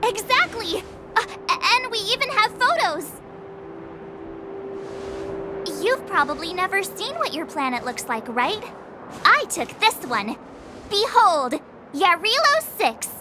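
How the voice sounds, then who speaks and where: Very high, perky young girl adventurer. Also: very animated, unhurried, background noise, full character voice. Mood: eager, showing off. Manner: chatty, exclamatory. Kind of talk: video game voice acting. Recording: game music behind the voice. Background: orchestral violin music.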